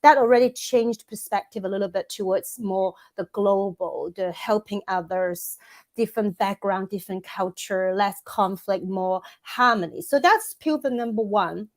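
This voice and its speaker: A woman's voice: medium pitch, moderate pace. A woman, a coach giving thoughtful interview answers that she builds up in lists, with frequent restarts. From Hong Kong. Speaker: guest, women's coach and author.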